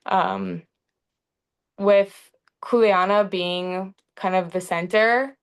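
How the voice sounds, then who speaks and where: A young woman from Hawaii speaking earnestly in a panel talk, often pausing with "um" between thoughts. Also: medium pitch, fairly even intonation, gravelly, smooth. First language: English. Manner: earnest, frequent "um" fillers. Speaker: panel facilitator.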